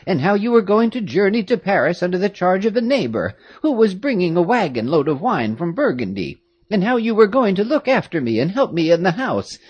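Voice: husky, no echo, dull audio. A narrator putting on a fussy old woman. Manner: exclaiming, chattering, high and animated. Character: fussy, fiercely loyal old woman, a former nurse. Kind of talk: audiobook character reading.

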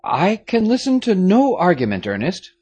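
A commanding aristocratic father lays down the law, as a man reads him. His husky voice rises high and swings widely as he speaks firmly and gravely. It is a storybook figure. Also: wildly swooping pitch, moderate pace, a little mellow, full character voice. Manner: firm, authoritative. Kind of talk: audiobook character reading.

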